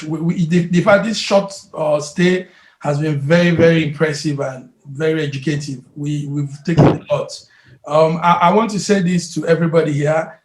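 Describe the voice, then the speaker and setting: A middle-aged man speaks into a webcam from a home office, and the room adds a noticeable echo. His voice is a bit high for a man. He is polite and thankful, and he trips over his opening words. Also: slight background noise. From Nigeria. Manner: polite, thanking, halting restarts. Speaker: meeting moderator. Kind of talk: video-call moderating. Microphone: webcam on video call. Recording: roomy echo.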